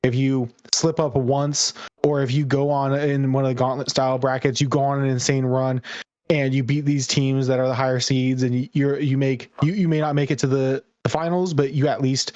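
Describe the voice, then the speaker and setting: A man's voice: medium pitch, level tone, moderate pace, a little mellow, no echo, dull audio. A man co-hosting a podcast with casual analysis, rambling and repeating words as he works through his thoughts. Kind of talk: casual esports discussion.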